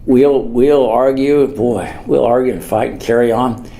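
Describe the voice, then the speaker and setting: A man's voice: mid-range voice, steady tone, a little slow, vocal fry, warm tone, low-quality recording. An older man from the United States giving an informal public speech as a mayor, rambling and earnest, repeating phrases for emphasis. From Norwood, United States.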